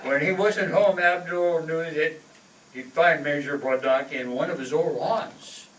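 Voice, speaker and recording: Echoey male announcer, fairly high voice. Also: unhurried, fairly bright tone. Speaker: announcer and narrator. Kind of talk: scripted comedy narration. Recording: roomy, distant stage recording, faint noise.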